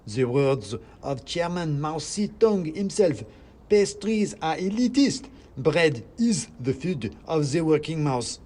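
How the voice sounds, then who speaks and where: A man doing a shrill ranting revolutionary mouse. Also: big pitch swings, takes it slowly, a little mellow, heavily acted. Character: fiery male revolutionary mouse agitator.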